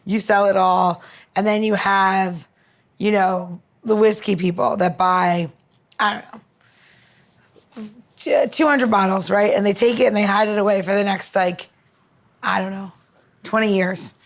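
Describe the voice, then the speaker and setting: A woman with a mid-pitched voice co-hosts a casual podcast chat. She talks in a blunt, informal way, free with slang and swearing. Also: steady tone, unhurried, long pauses. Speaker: podcast co-host.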